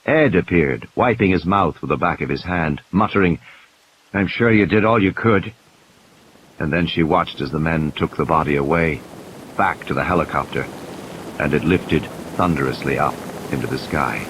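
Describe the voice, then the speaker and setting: A man's voice: deep voice, a bit bright, low-quality recording. A man reading scripted dramatic narration as the storyteller of an audiobook. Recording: clear background hiss and noise.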